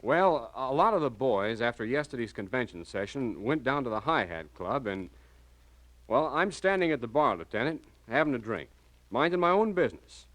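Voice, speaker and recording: A man's voice: medium pitch, very animated, moderate pace, a bit bright, no echo. An actor playing an anxious married small-town businessman, hesitant and flustered, in 1940s radio style. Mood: nervous recounting.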